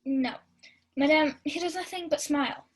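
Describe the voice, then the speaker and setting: A woman's voice: high-pitched, normal speed, natural voice. A woman playing a mischievous maidservant reporting to her lady in a Shakespeare radio play. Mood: feigned concern. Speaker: student actor.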